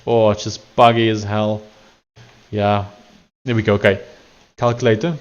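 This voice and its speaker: A man's voice: fairly low pitch, flat delivery, moderate pace, dull audio. A man from South Africa with an Afrikaans accent, chatting casually to a live-stream audience while he works on screen, rambling and correcting himself as he goes.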